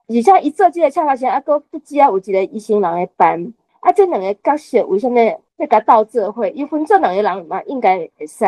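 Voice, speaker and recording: A woman's voice: a little high, mellow, no echo, poor audio. A woman with a Taiwanese accent taking part in a literary discussion, methodically laying out questions point by point. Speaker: discussion panelist asking questions.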